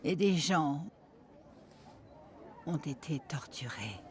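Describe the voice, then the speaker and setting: An actor playing an earnest, devout witness from the Prophet's household, giving a sober recollection in animated-documentary narration. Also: male voice, a little high, slow, background noise. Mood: sorrowful. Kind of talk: dramatized first-person narration for an animated documentary.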